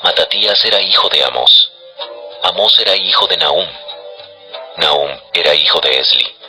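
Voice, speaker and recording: Really deep guy narrating a bible audio drama, sharp forceful voice, measured, bit of music under it. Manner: measured, declarative storytelling.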